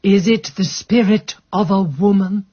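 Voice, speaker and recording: An actress playing a prim, resourceful English spinster sleuth in a radio drama, speaking in a fussy, genteel and precise manner. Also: female voice, mid-range voice, unhurried, highs cut off, a little hiss or hum, lightly acted. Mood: solemn, trance-like. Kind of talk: British radio drama acting.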